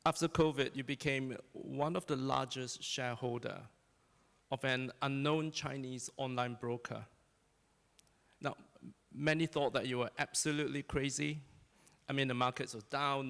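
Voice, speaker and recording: Male panel moderator with a gravelly voice, reverberant hall, joking introductions. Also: medium pitch, a bit bright. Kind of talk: panel interview on stage. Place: conference hall.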